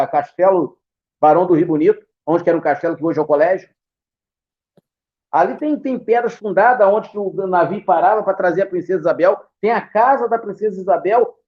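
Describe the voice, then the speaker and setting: A Brazilian-accented man with a high voice talks with earnest pride and keeps circling back to repeat his points. He speaks as a guest on a live video call from home. The sound comes through dull and lo-fi. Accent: Brazilian Portuguese. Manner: earnest, proud, storytelling with repetitions. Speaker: capoeira master guest.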